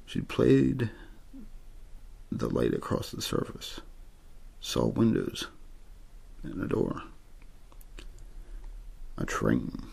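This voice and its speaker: Very deep, gravelly male ASMR reader. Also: wildly swooping pitch, drawn-out words, long pauses, darkish tone. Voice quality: very low, gravelly, husky. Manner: untheatrical reading meant to lull to sleep. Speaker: amateur story reader. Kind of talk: ASMR story reading.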